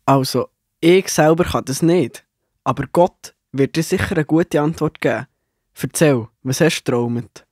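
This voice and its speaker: Higher-voiced earnest Josef, played by a man. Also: moderate pace, darkish tone, no room sound, studio quality, lightly acted. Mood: humble, confident. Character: earnest, upright young male Josef, dream interpreter. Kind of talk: children's Bible audio drama.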